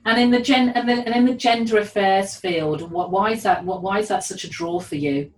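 A woman's voice: mid-range voice, steady tone, fairly quick, a little hiss or hum. A woman hosting an interview in a courteous, affirming manner, often repeating her phrases as she talks. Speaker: interviewer, host. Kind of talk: conversational interview. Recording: echoey room, distant from mic.

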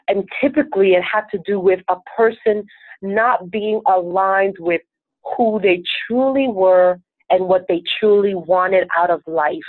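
A woman's voice: mid-range voice, no room sound. A woman from Venezuela whose first language is Spanish, a life coach answering interview questions in an earnest, upbeat, self-assured way. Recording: tinny phone-like line, dry.

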